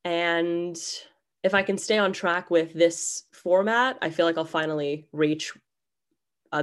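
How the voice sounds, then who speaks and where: Young female podcast host, low-pitched, cold-stuffed nasal tone, rambling and self-mocking. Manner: chatty, self-deprecating, rambling. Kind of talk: casual solo podcast intro.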